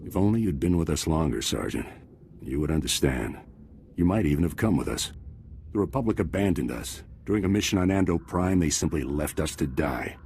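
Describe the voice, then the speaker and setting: An actor playing a grave, disillusioned military commander in a video game, speaking in a solemn, measured, formal way. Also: male voice, a bass voice, lively intonation, a little mellow, slight background noise. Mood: bitter resolve. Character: disillusioned male traitor commander, grave and honorable soldier. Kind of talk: video game cutscene acting.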